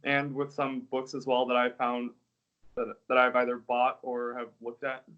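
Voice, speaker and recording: A young man, an English teacher, answering interview questions in a relaxed, casual way, hedging often with "like" and "I guess.". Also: medium pitch, normal speed, lo-fi sound, soft background music. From United States.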